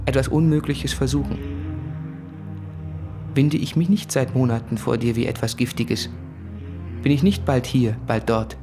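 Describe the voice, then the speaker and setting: A man's voice: on the high side, close to an everyday voice. Man acting an anguished, intense suitor over music. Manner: earnest, intense, deliberate. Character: anguished, self-tormenting suitor writing to his fiancée. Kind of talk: radio drama, literary monologue.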